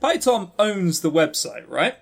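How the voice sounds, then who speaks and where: A British man with a fairly high, very animated voice co-hosts a casual podcast about games. He talks in a sarcastic, teasing way, his pitch swinging up and down as he chats. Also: a little slow, creaky. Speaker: podcast co-host.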